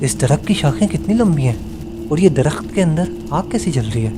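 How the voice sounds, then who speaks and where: A man's voice: fairly high pitch, very animated, moderate pace. Man acting an impulsive young student, noisy background. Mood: curious. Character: impulsive, curious young male university student. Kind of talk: audio drama acting. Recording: loud ambient background noise.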